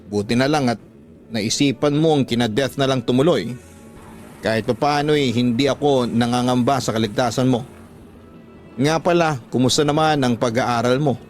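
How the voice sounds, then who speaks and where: A man's voice: medium pitch, normal speed, lightly acted. A man with a Filipino accent voicing a worried, hesitant mother from the province in a radio drama. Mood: concerned. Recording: background music under the voice.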